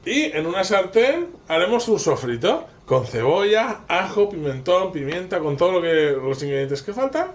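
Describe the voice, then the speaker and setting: A man's voice: sits high, very animated, normal speed, hardly any pauses, echoey room. A man with an accent from Spain hosting a casual cooking video in a home kitchen, chatty and curious, asking eager questions while recorded on a 360 camera.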